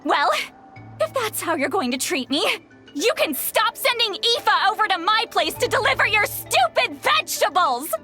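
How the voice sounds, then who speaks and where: Game granny: elderly woman, high and very animated, hot-tempered, scolding yet caring, exasperated delivery. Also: moderate pace, over music. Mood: angry scolding. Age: older. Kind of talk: video game voice acting.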